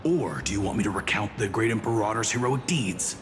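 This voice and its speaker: A man voicing a proud, loyal warrior in a video game, formal and quick to challenge. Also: lowish voice, natural voice. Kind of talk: anime-style video game voice acting. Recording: loud music behind.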